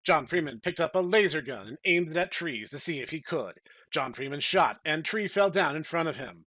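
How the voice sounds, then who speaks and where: Guy podcast host, bright punchy voice, rambles a bit, super dry sound. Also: on the high side, lively intonation, moderate pace, dry recording. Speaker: podcast host and story narrator. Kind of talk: casual chat and dramatic reading.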